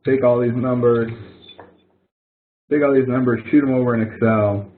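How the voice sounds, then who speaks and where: A man's voice: medium pitch, steady tone, normal speed. Man teaching casually over a phone-like line. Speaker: instructor leading an online help session. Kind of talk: casual screen-share walkthrough of a spreadsheet exercise. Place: online web conference. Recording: muffled narrow phone-like audio.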